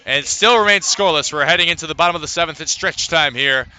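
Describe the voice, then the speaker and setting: Male radio sportscaster, very bright punchy voice, slightly high pitch, live baseball commentary. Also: fast. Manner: lifts into excitement on plays, conversational between pitches. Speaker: radio play-by-play announcer.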